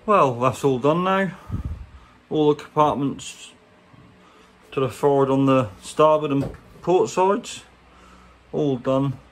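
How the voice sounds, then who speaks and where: A man's voice: medium pitch, slow pace. A man with an English accent giving a chatty, colloquial hands-on tutorial in a casual, plain-spoken way. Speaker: boat restorer presenting a how-to. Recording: clear background noise, handheld workshop recording.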